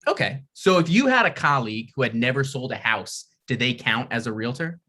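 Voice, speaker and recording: A man's voice: mid-range voice, lively intonation, fairly quick, pushed and bright. A man reads a script at a table read. He sounds hesitant and self-deprecating, stumbling and restarting his sentences.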